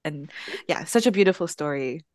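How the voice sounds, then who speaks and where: A woman's voice: low voice, expressive, normal speed, darkish tone, no room sound, clean studio sound. A woman from Montreal, a podcast guest, earnest and reflective. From Montreal, Canada. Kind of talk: casual chat.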